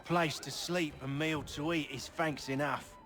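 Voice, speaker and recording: An actor playing a brash, scrappy young rogue and fixer in a colonial-era video game, slangy and cheeky. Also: male voice, on the high side, steady tone, a little slow, fairly bright tone. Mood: earnest, obliging. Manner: slangy, cheeky, rough-spoken. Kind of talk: video game cutscene acting.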